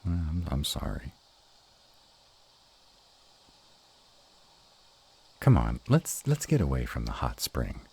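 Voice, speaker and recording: A man playing a gruff, protective, uptight male were-dragon in an intimate roleplay audio drama, halting and dramatic. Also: a bass voice, a little mellow, heavily acted. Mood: protective, wary. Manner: halting, dramatic, slow delivery with emphatic outbursts.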